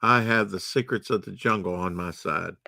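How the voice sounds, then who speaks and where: A man's voice: low-pitched, moderate pace, vocal fry, sharp tone, dry recording, studio quality, heavily acted. A man voicing a boastful male hunter villain from a storybook, speaking in grand, menacing proclamations.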